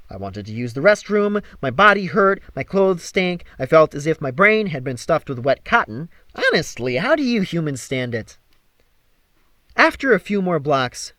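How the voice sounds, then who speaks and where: A man narrates a young-adult fantasy audiobook in a slightly high voice. His intonation swings widely, with emphatic, theatrical phrasing. The sound is dry and close, without room echo. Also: fairly bright tone. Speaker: audiobook reader.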